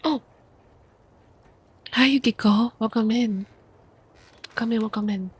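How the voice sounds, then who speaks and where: Soft husky young woman reading aloud. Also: a little high. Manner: soft, quiet, unhurried reading voice. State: sick with a stuffy nose. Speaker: VTuber streamer. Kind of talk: soft ASMR book reading.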